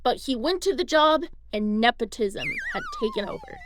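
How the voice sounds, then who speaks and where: A woman's voice: high voice, wildly swooping pitch, moderate pace, noisy background. A woman reading scripted documentary narration as the narrator.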